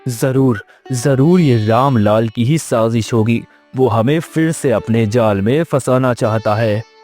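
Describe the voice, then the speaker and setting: A man's voice: medium pitch, slow pace, darkish tone, faint music behind, close to an everyday voice. A man playing an emphatic village character in a moral-story cartoon. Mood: worried, suspicious. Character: earnest farmer and curt dismissive official in a cartoon.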